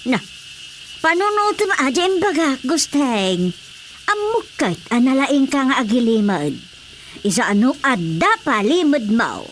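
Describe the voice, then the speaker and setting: An actress as a motherly village woman in a radio drama, fairly high-pitched. She is pious and nagging, quick to exclaim and scold. Faint noise runs behind her. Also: exaggerated voice. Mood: scolding, urging. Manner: exclaiming, scolding, animated interjections. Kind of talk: Ilocano radio drama comedy acting.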